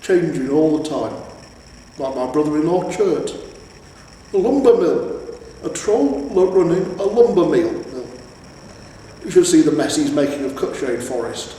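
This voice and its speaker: A man's voice: a little high, lively intonation, unhurried, long pauses, dark tone, pitched above the performer's own voice. A man voicing a glum troll in a storybook reading, rambling and complaining in a sorry, nostalgic way. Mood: grumbling. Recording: echoey reverberant room, distant, faint hiss.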